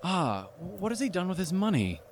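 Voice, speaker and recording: A man's voice: high voice, sing-song, moderate pace, slight background noise. An actor playing a jocular, callous Victorian businessman in a radio play, chatty and given to hemming. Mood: callous amusement.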